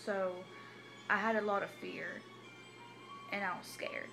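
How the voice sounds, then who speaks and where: A woman, telling her personal story to camera in a halting, hesitant way with many restarts. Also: mid-range voice, fairly even intonation, vocal fry, sharp tone, faint music behind. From Tennessee. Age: 20s. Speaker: vlogger. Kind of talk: personal confessional vlog.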